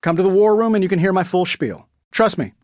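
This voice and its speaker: A wisecracking billionaire superhero dude for a game, sarcastic and cocky, kinda high voice, really dry close studio sound. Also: male voice, lively intonation, fast, darkish tone, dry recording. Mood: wry, quippy. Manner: glib, sardonic, conversational delivery. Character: witty, cocky male billionaire inventor superhero. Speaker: video game voice actor. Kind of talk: video game character voice lines.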